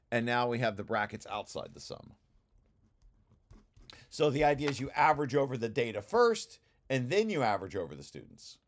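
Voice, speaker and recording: A man giving an informal technical walkthrough, explaining as he reads and often stopping to correct himself. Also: mid-range voice. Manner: halting, self-correcting, explanatory. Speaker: presenter reading through own technical paper.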